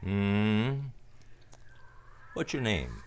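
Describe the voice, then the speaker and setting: Man acting a low-pitched hard-boiled private eye. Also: sing-song, very slow, exaggerated voice. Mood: probing, wry. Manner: world-weary, laconic. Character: hard-boiled male private detective, cynical, protective of a woman. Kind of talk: radio play acting with first-person noir narration.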